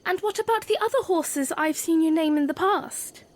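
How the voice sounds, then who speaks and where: A woman playing a playful, earnest young former princess in a fan audio drama, with formal, expressive phrasing. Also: very high-pitched, normal speed. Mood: teasing. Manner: expressive, formal wording, teasing, hesitant when flustered.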